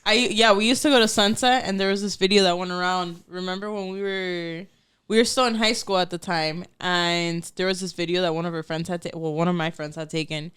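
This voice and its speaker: A woman's voice: fairly low pitch, fairly even intonation, fairly quick, a bit bright, clear, resonant tone. A young woman, teasing and joking in casual chat as a podcast guest. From Miami, Cuban and Colombian family.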